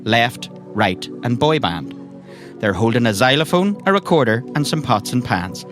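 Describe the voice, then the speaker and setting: A man's voice: mid-range voice, animated, normal speed. An Irish man narrating a tabletop game as game master, with wry, colourful descriptions. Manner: colourful, droll turns of phrase. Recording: clear music behind the voice. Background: music.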